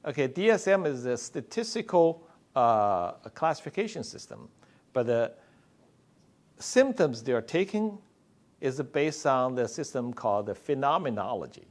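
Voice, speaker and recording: A middle-aged male psychiatrist giving a conference talk, speaking loudly and slowly and joking with the audience. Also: mid-range voice, sing-song. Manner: loud, slow-paced, joking with the audience.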